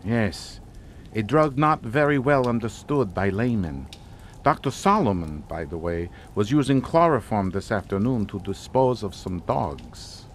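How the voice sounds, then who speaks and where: Courtly, menacing count in radio suspense; formal, deliberate, richly varied melody. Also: male voice, on the low side, lively intonation, slight background noise. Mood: ominous, measured. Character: suave, sinister aristocratic Hungarian count and uncle. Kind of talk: radio suspense drama acting.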